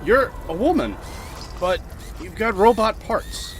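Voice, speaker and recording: Guy playing curious evacuee dude, highish animated voice, kinda skeptical. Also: slow, slight background noise, exaggerated voice. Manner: questioning, reasoning. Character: curious, level-headed male evacuee, mildly skeptical. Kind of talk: sci-fi audio drama acting.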